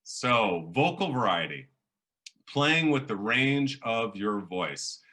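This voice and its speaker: A middle-aged man with a big, booming voice, leading a public speaking workshop and playing with his volume and pace to make his points. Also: slow. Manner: animated, varies volume and pace for emphasis. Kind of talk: lively workshop lecture.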